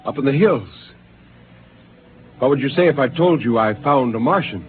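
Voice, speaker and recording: An actor playing a brooding astronaut gone rogue. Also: male voice, medium pitch, expressive, moderate pace, faint music behind, lightly acted. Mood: calm. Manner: quiet, intense, poetic. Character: brooding male astronaut turned killer. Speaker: actor in a radio drama. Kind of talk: 1950s radio acting. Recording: tinny 1950s radio broadcast with faint hiss.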